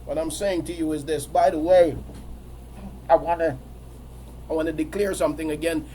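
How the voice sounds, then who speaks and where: A man's voice: a little high, steady tone, moderate pace, warm tone, a little hiss or hum. A man preaching a sermon while he has a cold and sniffles, repeating his phrases in a building, emphatic cadence.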